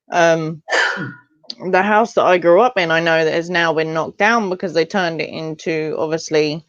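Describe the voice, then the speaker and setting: A woman's voice: low voice, no echo. A woman chatting casually as a guest on a livestream, talking in a blunt, matter-of-fact way. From Portland, Oregon, United States. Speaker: interview guest. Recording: dull, lo-fi streamed audio.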